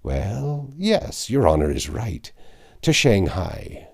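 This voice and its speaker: A man's voice: fairly low pitch, big pitch swings, takes it slowly, creaky, pitched above the performer's own voice, heavily acted. A man voicing a deferential but hot-tempered sea pilot in a storybook reading, exclaiming emphatically. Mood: excited.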